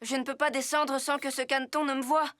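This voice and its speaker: A performer voicing a gentle, slightly timid little boy rabbit in a preschool cartoon, with a hesitant, childlike delivery. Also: high-pitched, little pitch movement, brisk, background noise, lightly acted. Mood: uneasy. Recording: loud music behind the voice. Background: children's music.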